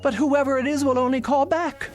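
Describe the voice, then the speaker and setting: A woman's voice: sits high, fairly even intonation, fairly quick, faint music behind, close to an everyday voice. An older woman playing an anxious, querulous elderly invalid in a radio drama, speaking formally and insistently. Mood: agitated, insistent.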